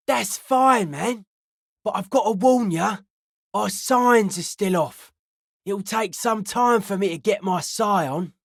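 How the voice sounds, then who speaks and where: A cryptic, suspicious underground raver. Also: male voice, high voice, no echo, studio quality. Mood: wary, earnest. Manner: cryptic, pseudo-philosophical, slangy, conspiratorial. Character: suspicious, anti-authority young underground rave carpenter. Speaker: video game voice actor. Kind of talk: scripted video game character dialogue.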